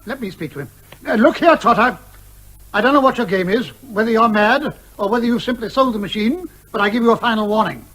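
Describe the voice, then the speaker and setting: High, husky, blustering major. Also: male voice, fast, fairly bright tone, poor audio. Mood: indignant. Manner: peremptory, spluttering, outraged. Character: blustering, indignant, short-tempered male major. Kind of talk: comic radio drama acting.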